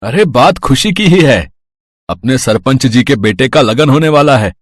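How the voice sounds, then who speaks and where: A man's voice: mid-range voice, expressive, measured pace, no room sound, clean studio sound. A man voicing a cheerful, eager village husband in a children's moral story. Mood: excited.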